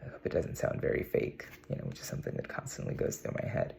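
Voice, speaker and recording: A man's voice: fast talker, darkish tone. A young man from India, speaking with a put-on American accent in a casual, meandering vlog monologue to camera. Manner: musing, meandering, with frequent "you know". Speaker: vlogger. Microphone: camera mic, talking to camera.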